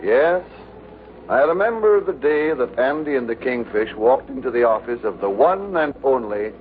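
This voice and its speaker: A man's voice: medium pitch, expressive, moderate pace. A man giving dramatic scripted narration for a mid-century radio show. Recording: tinny old radio recording with hiss.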